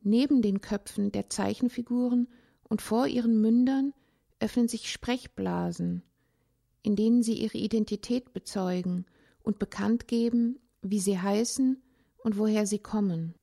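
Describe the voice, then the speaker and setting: A woman reading a literary essay aloud in a measured, contemplative way, with pauses between phrases. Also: mid-range voice, creaky, dark tone. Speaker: narrator, language teacher.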